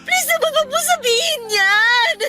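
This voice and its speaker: An actress with a Filipino accent playing a devoted, emotional bride-to-be in a radio drama. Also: female voice, very high-pitched, lively intonation, moderate pace, over music. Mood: tearful, desperate pleading. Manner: tender, heartfelt, emotional. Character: devoted bride-to-be and mother, tender, pleading.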